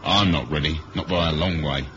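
A wry spy from a seventies radio play, speaking low with a hard edge. His delivery is dry and understated, on an old recording with faint hiss. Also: fairly quick, a bit bright, lo-fi sound. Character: wry, wary British male spy hero. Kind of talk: 1970s radio drama acting with first-person narration.

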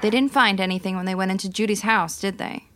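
A woman's voice: medium pitch, fast, noisy background, lightly acted. Gossipy 1950s neighbor housewife acted by a woman. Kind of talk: old-time radio comedy-drama acting.

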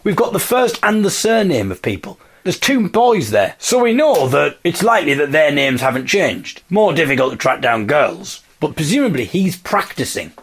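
A man with a slightly husky voice hosting a podcast, telling a story conversationally with enthusiastic, emphatic delivery and dramatic repetition. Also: on the high side, big pitch swings, moderate pace, few pauses. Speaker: podcast host.

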